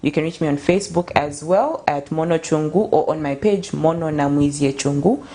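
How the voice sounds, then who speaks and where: A woman's voice: low-pitched, normal speed, mellow. A young Zambian woman, an author guest, talking earnestly and passionately in a casual interview, with frequent restarts. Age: young adult.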